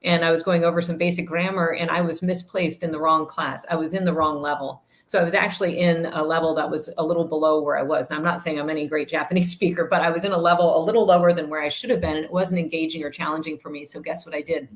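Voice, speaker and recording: A woman guest in a casual interview, explaining things at length in an articulate way, filling pauses with ums. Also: low voice, steady tone. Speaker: guest, researcher and parent.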